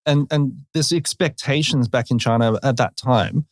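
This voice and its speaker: A man's voice: medium pitch, normal speed, no echo, clean studio sound. Encouraging male podcast host. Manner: encouraging, frequent short affirmations. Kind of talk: casual interview chat.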